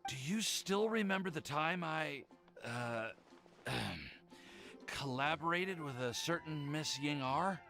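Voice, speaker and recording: A man's voice: a little high, expressive, slow, sharp tone, soft background music, full character voice. An actor voicing an earnest, flustered young male alchemist in a video game, speaking in a hesitant, formal, wordy way.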